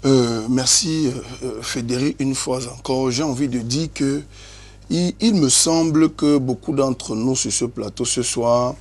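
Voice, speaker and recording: A man, debating on a TV panel, starting out halting and growing emphatic. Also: mid-range voice, darkish tone. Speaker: panel guest.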